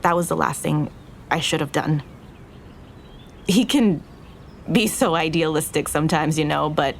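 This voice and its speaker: An actress playing an earnest, regretful young restaurant owner in an audio drama, confessing in a halting, naturalistic way. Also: female voice, sits low, gravelly, a little hiss or hum, full character voice. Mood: vulnerable, heartfelt.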